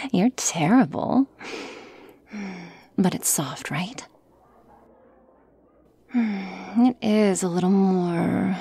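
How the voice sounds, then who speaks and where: Playful, teasing girlfriend played by a woman for ASMR roleplay: very slow, breathy whispering with creaky edges. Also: medium pitch, mellow, dry recording, heavily acted.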